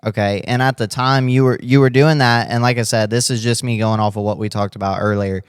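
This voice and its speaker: A man's voice: lowish voice, fairly even intonation, fairly quick, smooth, dry recording, studio quality. A man hosting a casual racing podcast interview, rambling and restarting his questions as he goes. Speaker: podcast host.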